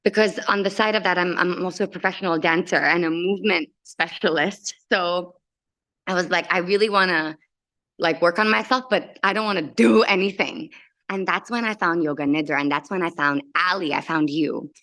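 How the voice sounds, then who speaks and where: A woman's voice: on the low side, normal speed, a bit bright. A woman from Indonesia speaking conversationally as a coach, giving a personal testimonial. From Jakarta, Indonesia. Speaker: transformational soul coach.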